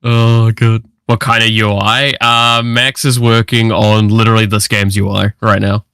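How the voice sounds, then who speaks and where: A New man in his twenties chats on a livestream in a slightly low, forceful voice. His talk is loose and slangy, full of swearing, with a very dry sound and little room to it. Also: level tone, unhurried, clean tone, no echo. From New Zealand. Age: 20s. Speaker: streamer. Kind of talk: casual livestream chat.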